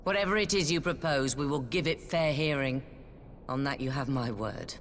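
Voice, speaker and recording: A woman's voice: a bass voice, normal speed, slight background noise. A woman playing a stern, resolute admiral in a video game cutscene, with authoritative, old-fashioned formal speech.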